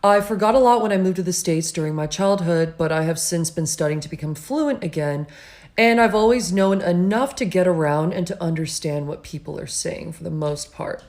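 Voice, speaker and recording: A woman whose first language is Japanese tells a story in a relaxed, wry, matter-of-fact way. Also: on the low side, moderate pace. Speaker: interviewee. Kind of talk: casual storytelling.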